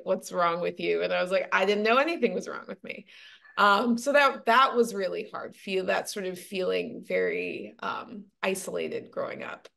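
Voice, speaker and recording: A woman guest, an actor and TV writer, speaking thoughtfully in an interview, often pausing to search for words. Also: fairly low pitch, vocal fry, fairly bright tone. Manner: thoughtful, searching for words, frequent ums and restarts. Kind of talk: reflective interview conversation.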